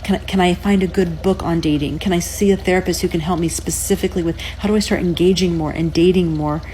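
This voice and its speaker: Woman, mellow tone, earnest coach asking rhetorical questions. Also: on the low side, fairly even intonation, quick pace, slight background noise, low-quality recording. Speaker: relationship advice creator. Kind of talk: casual advice talk.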